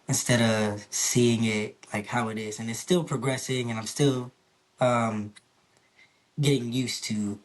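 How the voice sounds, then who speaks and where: A young man talking candidly in a casual podcast chat, open and earnest, his voice a little hoarse. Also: medium pitch, low-quality recording. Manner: earnest, open, rambling with fillers. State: slightly hoarse. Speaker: podcast guest.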